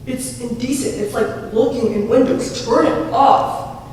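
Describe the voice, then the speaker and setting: A woman's voice: on the high side, unhurried, darkish tone, a little hiss or hum, lightly acted. A woman voicing a weary husband who reasons in an exasperated way. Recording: echoey hall, distant mic.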